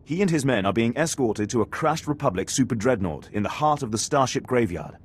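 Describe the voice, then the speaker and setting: A man's voice: medium pitch, animated, brisk, breathy edge. An actor playing a stern, formal male military commander in a video game, giving briefings with authority.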